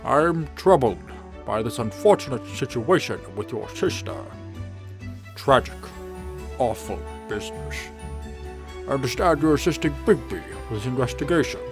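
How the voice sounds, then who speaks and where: A man's voice: mid-range voice, big pitch swings, normal speed, music in the background. A man voicing a pompous, fussy, genial king who serves as mayor, in a comic dub. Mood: solicitous. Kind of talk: comic dub character acting.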